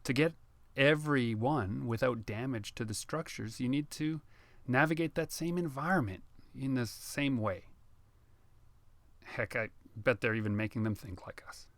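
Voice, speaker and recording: Man, author reading fiction, measured dramatic phrasing, middle pitch, dry close recording. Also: no room sound. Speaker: author reading own novel. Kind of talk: audiobook narration of fiction.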